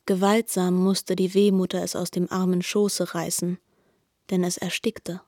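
A woman narrating a literary radio drama in a grave, deliberate way. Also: fairly low pitch, little pitch movement, a little mellow, no room sound, studio quality. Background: faint music.

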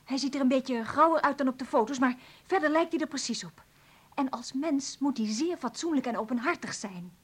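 High, husky, insistent woman. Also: a little fast. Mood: urgent, pleading. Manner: urgent, reproachful, intense. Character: insistent, frustrated woman pressing her stubborn partner. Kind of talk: Dutch radio play acting.

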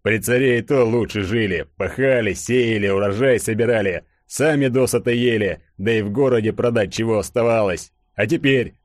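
A man's voice: fairly low pitch, moderate pace, few pauses, no room sound, studio quality, natural voice. A man voicing a big bearded peasant grumbling about hard times.

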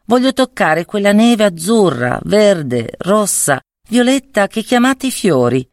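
A woman voicing a gentle, fragile young snow maiden in a storybook fairy tale, with a pleading manner. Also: medium pitch, big pitch swings, unhurried, no room sound, clean studio sound, voice pushed up.

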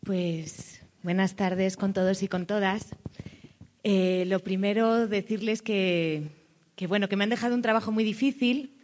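Spanish-accented woman giving a panel talk. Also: on the low side. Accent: Spanish (Spain). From Spain. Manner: thoughtful, pauses on fillers, often ends points with a questioning "no?". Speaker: cultural manager and public official presenting a project. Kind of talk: panel presentation to an audience.

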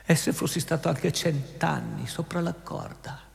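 A man playing a frightened, tortured prisoner who pleads haltingly with his judge in a theatrical radio drama. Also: a little high, vocal fry. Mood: broken, anguished.

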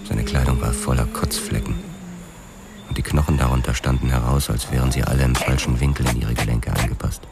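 A man's voice: a bass voice, fairly even intonation, brisk. An actor playing a weary, hard-boiled policeman who narrates his own past in a crime radio drama. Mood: somber recollection. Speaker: first-person narrator. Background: music.

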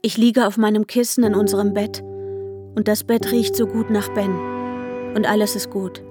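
A woman's voice: mid-range voice, little pitch movement, normal speed, close to an everyday voice. An actress playing an anxious, panic-prone woman narrating her inner turmoil in a German radio drama, often dropping into whispers. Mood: drowsy, soothed. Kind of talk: German radio drama, intimate first-person inner monologue. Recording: voice over prominent music.

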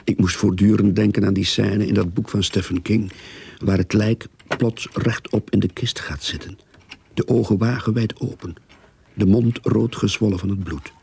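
An actor playing a haunted grave robber, narrating his grim tale in a husky, gravelly voice in a radio play. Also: fairly low pitch, steady tone, normal speed, a little mellow, heavily acted. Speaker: first-person narrator. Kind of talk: dramatic first-person radio play narration.

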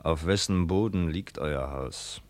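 An actor in a German radio play, playing a grasping, mocking farm heir with a sarcastic, self-righteous, menacing way of talking. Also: male voice, sits low, unhurried, no echo. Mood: sneering.

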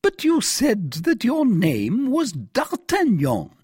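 A man voicing a pompous, exasperated police commissary in an audiobook, questioning in an imperious, drawn-out, indignant way. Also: very high-pitched, wildly swooping pitch, a little mellow, slightly rough, no room sound, clean studio sound, voice pushed up, exaggerated voice. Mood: indignant, flustered. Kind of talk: dramatized audiobook reading.

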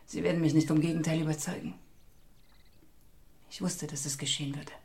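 A grieving mother in a radio drama, low-pitched. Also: flat delivery, a little fast, dark tone. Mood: grief-stricken. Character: grieving guilt-ridden mother. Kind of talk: radio play acting.

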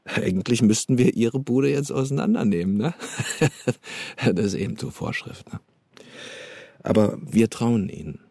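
Gravelly, husky East German secret police officer. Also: male voice, on the low side, measured pace, mellow, no echo, heavily acted. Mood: chummy, menacing. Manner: insinuating, bureaucratic, with knowing chuckles. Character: East German state security officer, oily, chummy, quietly menacing. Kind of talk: naturalistic German radio drama acting.

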